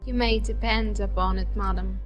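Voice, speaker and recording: A woman's voice: mid-range voice, steady tone, moderate pace, faint music behind, close to an everyday voice. A woman voicing a haughty young society lady in an audiobook, formal and coldly civil. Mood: cold civility. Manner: formal, cold politeness.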